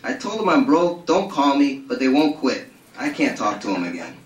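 A man playing a brash, profane male gambler who laughs and curses loudly. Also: mid-range voice, big pitch swings, normal speed, lightly acted. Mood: gleeful brash. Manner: loud, cursing, laughing. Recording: roomy hall, mic at a distance.

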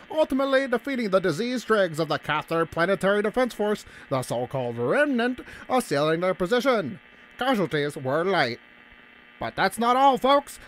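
A bombastic newsreel-style announcer of a sci-fi empire, pitched extremely high. The delivery is pompous and proclaiming, like a wartime bulletin, with noticeable noise around it. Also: male voice, a bit bright, low-quality recording. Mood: boastful. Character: bombastic propaganda announcer for a grim space empire. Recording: clear background noise.

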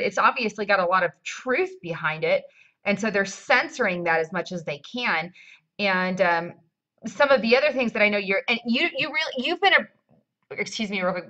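Woman interviewer, brisk and leading, crisp bright tone, mid pitch. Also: dull audio. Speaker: show host, interviewer. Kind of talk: online news interview.

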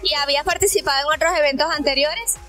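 A middle-aged woman with a Colombian accent interviewing a couple on a town plaza with a handheld mic, speaking halting English with fillers. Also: high-pitched, bright, forceful tone, faint music behind. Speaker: matchmaker interviewer. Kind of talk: street interview.

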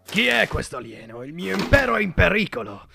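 A man's voice: high voice, very animated, brisk, gravelly, background noise, heavily acted. A man playing a bossy, scheming middle-aged tycoon villain in a children's cartoon, domineering and irritable. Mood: alarmed, scheming.

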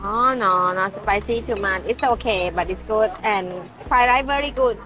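A woman's voice: medium pitch, fairly quick, bright, forceful tone, faint music behind. A Thai woman hosting a food vlog in simple, broken English with Thai words mixed in, giggling often, at an outdoor restaurant table at night, recorded by a camera across the table. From Thailand. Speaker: vlog host. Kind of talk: casual food vlog chat.

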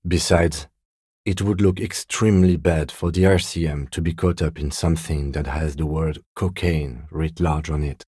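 An actor playing a composed middle-aged police detective in a video game, speaking in a measured, formal, dryly polite way. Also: male voice, very deep voice, fairly even intonation, warm tone, husky, no room sound, studio quality. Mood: measured, serious. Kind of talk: dramatic game dialogue, separate voice lines.

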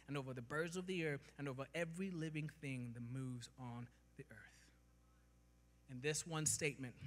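A man in his thirties from Kenya, preaching a sermon on stage with a handheld mic, building to loud emphatic peaks. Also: on the high side. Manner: preaching with rising emphasis, gesturing while walking the stage. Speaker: pastor. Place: large church stage, indoors. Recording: long hall reverb.

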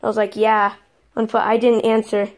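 A teenage girl chatting casually and excitedly with friends as she films prank calls in a bedroom. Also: mid-range voice, moderate pace. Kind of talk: casual chat among friends. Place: small bedroom, indoors. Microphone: handheld phone camera.

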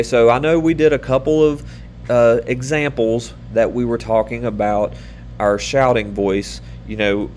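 A man in his thirties giving an online lesson to children, speaking patiently and upbeat, with many fillers and pauses, recorded on a webcam in a small room. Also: medium pitch, a little hiss or hum. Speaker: teacher.

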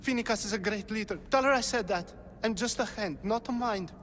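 An actor playing a cowardly, poor young artist who pleads fearfully in a video game cutscene. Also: male voice, sits high, fairly quick, a bit bright, soft background music. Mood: frightened pleading.

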